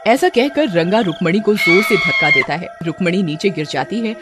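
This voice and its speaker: A woman's voice: mid-range voice, wildly swooping pitch, moderate pace. A woman narrating a dramatic scripted story. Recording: music under the voice. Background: background music.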